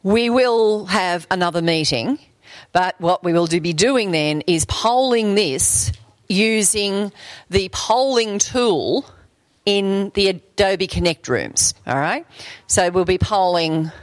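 A woman facilitating a workshop meeting in a meeting room, brisk and chatty, often checking in with the group. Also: medium pitch, animated, no echo.

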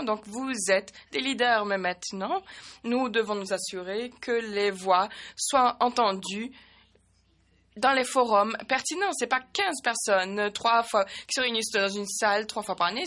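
A woman giving simultaneous interpretation at a meeting, speaking in halting bursts with frequent restarts and fillers. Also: fairly high pitch, bright, forceful tone. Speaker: conference interpreter.

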